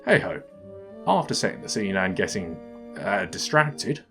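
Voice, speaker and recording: A man's voice: sits low, big pitch swings, a little slow, fairly bright tone, faint music behind. A man hosting a scripted comedic video game review, narrating in a dry, wry manner.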